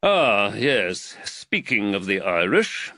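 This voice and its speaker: An earnest magistrate who frets over money, put on by a man reading the story aloud. He speaks a notch higher and louder than the narration, leaning hard on his points with a lively, swinging pitch. The recording is dry and close, with no room around it. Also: slow pace, no echo, exaggerated voice. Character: earnest, fretful male London magistrate, money-worried. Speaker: magistrate and employer.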